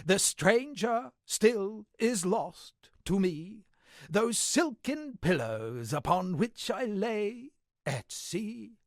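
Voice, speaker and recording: An actor playing an eccentric shipwrecked sea captain and pompous amateur poet, speaking florid, dramatic game dialogue in a gravelly, husky voice. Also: male voice, high-pitched, sing-song, extremely slow, no room sound, clean studio sound, full character voice. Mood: grandly reciting. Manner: florid, dramatic, alliterative declaiming. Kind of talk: theatrical video game character dialogue.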